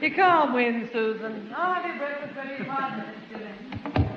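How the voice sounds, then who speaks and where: An actress playing a brisk, sensible, long-suffering mother in 1960s radio comedy. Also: high-pitched, expressive, heavy background noise. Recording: dull old radio with hall reverb.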